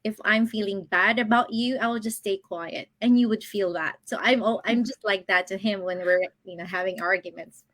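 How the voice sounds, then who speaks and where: A woman's voice: medium pitch, normal speed, hardly any pauses, fairly bright tone, no echo. A woman in her thirties, a guest on an interview show, chatting casually about her own experience and laughing often between thoughts. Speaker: guest, online business coach.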